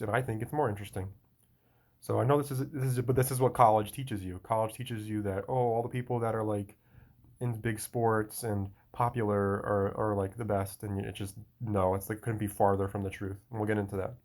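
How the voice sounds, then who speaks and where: A young man talking in a casual solo monologue, rambling and restarting often. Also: fairly low pitch. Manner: rambling, frequent restarts and fillers.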